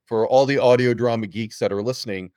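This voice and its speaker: A man with a medium-pitched voice hosts a conversational podcast interview in a very dry recording. He speaks as an enthusiastic interviewer, affirming and setting up his questions. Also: fairly even intonation, a little fast, darkish tone, dry recording. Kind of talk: casual interview chat.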